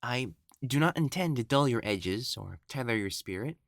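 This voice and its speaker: A man playing an elegant, proper matchmaker in a dramatic audio roleplay, speaking formally and haltingly as he hides his jealousy. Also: mid-range voice, expressive, creaky. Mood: resolved, tender. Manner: formal, halting, stammering when flustered.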